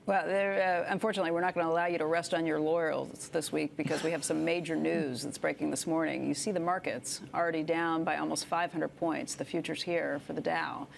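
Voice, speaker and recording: A woman in her thirties anchoring business news on TV, with a crisp scripted delivery, then interviewing a guest. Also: low voice, fairly even intonation, fairly quick, hardly any pauses, a little hiss or hum. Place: TV studio.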